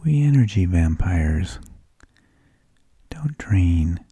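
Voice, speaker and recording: A man hosting ASMR, speaking in a husky, breathy whisper with halting phrases and long pauses. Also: low voice, sing-song, mellow, no room sound. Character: mild, tedious energy vampire who lulls people to sleep. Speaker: ASMR host.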